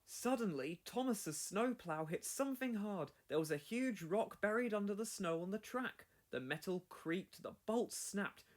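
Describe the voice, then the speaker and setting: A man's voice: high-pitched, lively intonation, measured pace, hardly any pauses. A man reading scripted narration for a children's storybook read-along.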